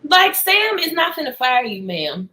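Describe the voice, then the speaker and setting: A woman's voice: high-pitched, sing-song, a little slow, sharp tone. An American woman co-hosting a book discussion in casual conversation, with informal, slangy phrasing. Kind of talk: casual chat. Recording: noticeable background noise.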